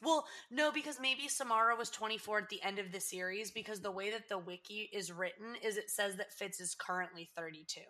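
A bright, forward voice from a young woman in her twenties, hosting a casual podcast. She rambles through her thoughts with lots of "like" fillers and breaks into quick excited bursts. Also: mid-range voice. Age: 20s. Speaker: podcast host. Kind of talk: casual chat recapping a TV episode.

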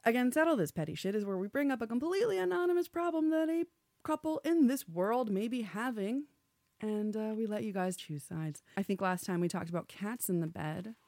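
Twenties gal hosting a chatty radio show, dry sound, brisk reads. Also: female voice, fairly high pitch, lively intonation, a little mellow, dry recording. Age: 20s. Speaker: podcast host. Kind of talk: casual chat and read-out plugs.

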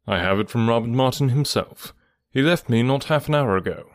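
A man voicing a composed, grave gentleman who speaks in a measured, formal way in an audiobook. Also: mid-range voice, animated, no echo, clean studio sound, natural voice. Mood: resigned. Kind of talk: audiobook character dialogue.

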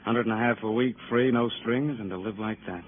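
An actor playing a wry, chatty police detective in a 1950s radio crime drama, with colourful, wordy delivery. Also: male voice, lowish voice, fairly quick, close to an everyday voice. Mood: wry, musing. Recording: tinny, noisy old radio recording.

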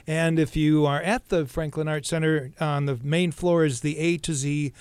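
A man's voice: on the high side, steady tone, normal speed, a little mellow, clean tone, no room sound. A man promoting a theater company on a radio show, hesitating with many fillers as he reads out dates and ticket details. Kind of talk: casual radio interview.

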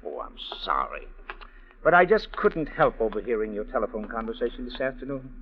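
A man's voice: medium pitch, sing-song, fairly quick, husky. Cocky male schemer, insinuating and wisecracking, swinging delivery, vintage radio drama over a phone-thin signal. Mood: smug, insinuating. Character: smooth, cocky schemer muscling in on a woman. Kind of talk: old-time radio drama acting. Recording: tinny, narrow-band vintage radio with music.

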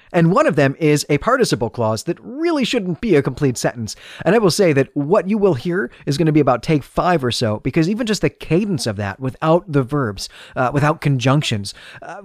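A man's voice: a little high, dry recording, clean studio sound. A man hosting a book review podcast, speaking in articulate, literary, carefully phrased commentary. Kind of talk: solo book review commentary, partly scripted.

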